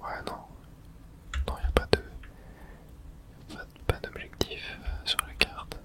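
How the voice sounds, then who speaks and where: Guy whispering asmr gameplay commentary, muttering little bits to himself as he plays. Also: slow pace. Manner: murmured reactions to himself in short fragments. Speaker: video game streamer.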